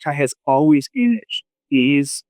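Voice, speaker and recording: A man with a slightly high voice acts as moderator of a live discussion. He speaks informally and conversationally, and the sound is close and dry. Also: animated, a little slow, a little mellow, no echo.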